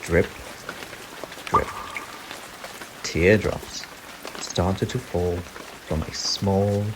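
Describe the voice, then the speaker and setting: A man narrating a children's story in an expressive, gentle, deliberate way. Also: sits low, background noise. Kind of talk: children's read-aloud narration. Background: crickets, animal and nature sound effects.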